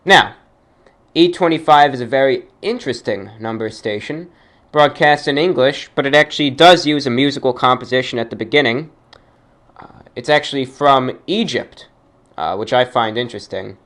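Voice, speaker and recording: A man's voice: medium pitch, moderate pace, fairly bright tone. A man hosting an informal radio-style show, speaking like an announcer with emphatic delivery. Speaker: show host.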